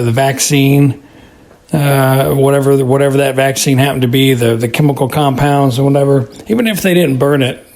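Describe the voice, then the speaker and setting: A man from Texas with an American accent, giving a casual spoken review in a halting, thoughtful, repetitive way. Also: mid-range voice, a little mellow. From Texas, United States. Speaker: listener commentator.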